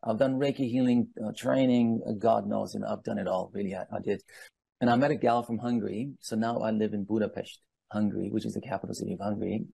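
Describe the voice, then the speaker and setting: Deep-voiced, gravelly man telling his story. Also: steady tone, fast, dark tone, no echo, rough recording. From Iran. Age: 50s. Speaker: guest speaker on palm leaf reading. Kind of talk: personal storytelling and explanation.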